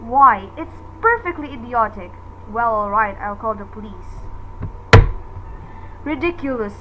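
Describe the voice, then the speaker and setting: Woman acting a high-pitched, fretful, imperious wife. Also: lively intonation, measured pace, muffled recording, very noisy. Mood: indignant. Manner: fretful, indignant, pleading. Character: anxious, imperious invalid wife, demanding and easily exasperated. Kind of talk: radio drama acting.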